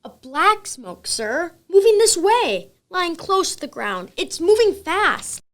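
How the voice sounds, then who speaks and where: A woman's voice: very high-pitched, very animated, takes it slowly, full character voice. A woman playing a young artillery gunner in a radio play, calling out in clipped, urgent military fashion. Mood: anxious, alarmed. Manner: loud, military call-and-repeat, clipped. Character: young artillery gunner shouting back ranges, frightened but dutiful.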